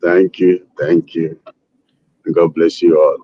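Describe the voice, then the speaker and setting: Brief: Nigerian-born man, very deep and slow, dry, dull line. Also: level tone, no echo. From eastern Nigeria. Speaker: evangelist and panel co-host. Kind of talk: live-stream panel chat.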